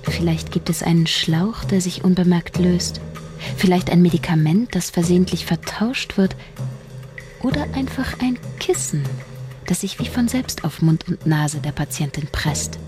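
An actress playing a scheming, bitter young writer in a radio drama, intense and sarcastic, part dialogue and part inner monologue. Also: female voice, fairly low pitch, measured pace, over music, natural voice. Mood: brooding, tense. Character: scheming, bitter young woman soap writer narrating her intrigues. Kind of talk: radio drama acting and first-person narration.

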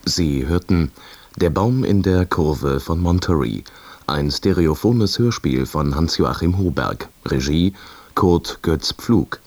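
Very deep-voiced radio announcer, male. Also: lively intonation, slow, a little mellow, dry recording. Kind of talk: formal scripted credits reading.

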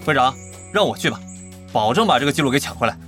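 An actor playing a mocking, cocky online guild veteran in an audio drama. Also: male voice, mid-range voice, expressive, fast, fairly bright tone, faint music behind, natural voice. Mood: eager, cocky. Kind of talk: Chinese audio drama acting.

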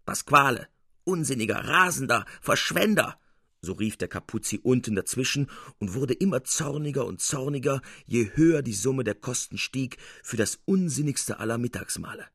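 A man narrating a scripted literary tale for radio as an expressive, theatrical storyteller. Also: medium pitch, moderate pace, vocal fry, hardly any pauses, husky, no room sound, clean studio sound.

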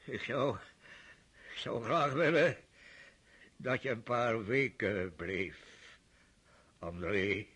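An actor playing a stern, mocking older aristocratic father in a radio drama, with a husky voice and scornful laughs. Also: mid-range voice, slow pace, pauses often, fairly bright tone.

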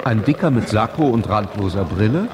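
A man playing the storyteller of a radio drama, narrating in a measured, precise, literary rhythm. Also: fairly low pitch, animated, natural voice. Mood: measured, storytelling. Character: male storyteller of an English pub miniature. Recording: crowd chatter behind the voice. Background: pub crowd chatter.

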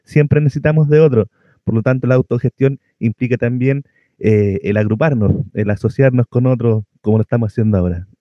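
A man's voice: medium pitch, brisk, no echo, dull audio. A man talking casually and reflectively, thinking aloud with some hesitation. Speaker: radio show participant.

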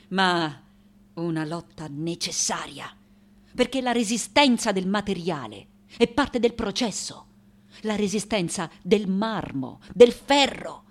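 Slow, fiery old woman artist. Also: mid-range voice, very animated, a bit bright. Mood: intense, defiant. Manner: dramatic, halting, self-questioning, emphatic repetitions. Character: fiery, eccentric 98-year-old French-born woman sculptor. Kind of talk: theatrical radio monologue.

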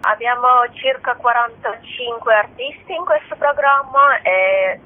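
A woman's voice: on the high side, a little slow. A woman festival director answering questions as a guest in a radio interview. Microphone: telephone. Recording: narrow, muffled telephone line.

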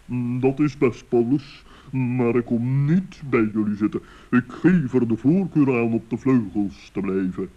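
A man's voice: mid-range voice, measured pace, mellow, lightly acted. A man voicing a fussy, pompous old male owl in an old children's radio play, speaking in long-winded phrases. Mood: haughty. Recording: dull lo-fi vintage recording.

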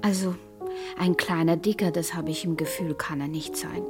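A woman's voice: sits low, steady tone, normal speed, over music, lightly acted. An actress in a radio play playing a romantic, touchy, unsure woman in her forties who speaks earnestly. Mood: smitten. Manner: earnest, easily hurt. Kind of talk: German radio drama dialogue.

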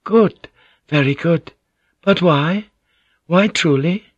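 A man voicing a gentle, composed Japanese noblewoman in an audiobook, speaking in courteous, archaic phrasing. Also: on the high side, slow pace, slightly rough, no room sound, muffled recording, voice pushed up. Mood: coy, courteous.

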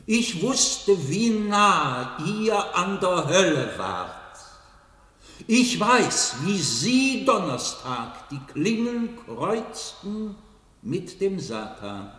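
A man's voice: high-pitched, sing-song, very slow, bright, forceful tone, exaggerated voice. An actor playing a majestic, godlike man, speaking in a solemn, declamatory way in a theatrical radio play. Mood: grave. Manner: solemn, declamatory, rhetorical repetition. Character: godlike, majestic man addressing his old comrades. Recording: echoey hall with long reverb, distant mic.

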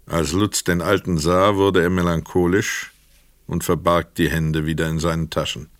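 Crime drama narrator: man, very low voice, close dry sound, measured and sober literary reading. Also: flat delivery, no room sound. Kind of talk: literary scripted narration of a crime radio play.

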